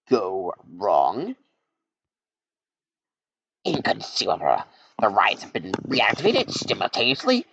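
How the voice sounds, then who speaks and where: Pompous villain scientist, a man reading him, lively rise and fall, muffled. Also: low voice, a little hiss or hum, heavily acted. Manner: pompous, gloating. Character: scheming older male mad scientist villain, gloating. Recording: dull lo-fi recording.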